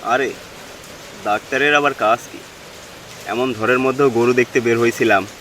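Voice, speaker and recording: Cartoon horror story lead: a man as an unafraid village vet narrating his own tale, medium pitch, heavy rain behind. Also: fairly even intonation, normal speed, pushed and bright, lightly acted, low-quality recording. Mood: casual, unafraid. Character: village cattle doctor telling his eerie tale, unafraid. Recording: constant rain and wind noise behind.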